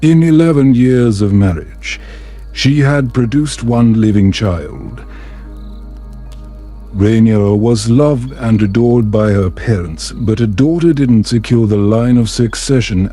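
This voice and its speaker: A man reading a bedtime story as calm, scripted ASMR narration in a measured, soothing and gentle manner. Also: medium pitch.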